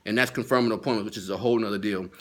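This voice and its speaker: A man's voice: fairly low pitch, steady tone, very fast, a bit bright. A man speaking as a sales training consultant in an informal video lesson, stressing and repeating his key points. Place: at home.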